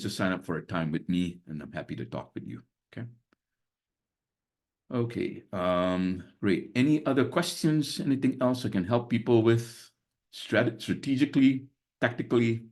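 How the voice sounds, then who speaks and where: A man in his sixties who grew up in Singapore, hosting a webinar on a video call in a chatty way, full of fillers and small chuckles. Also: on the low side. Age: 60s. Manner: chatty, many fillers, chuckles between points. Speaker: webinar host and business mentor. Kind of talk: casual live webinar talk.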